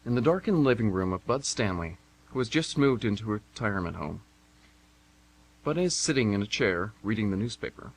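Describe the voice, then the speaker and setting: A man's voice: lowish voice, animated, fairly quick, poor audio. A man reading scripted narration and credits as announcer for an amateur radio theatre. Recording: hum and faint background noise.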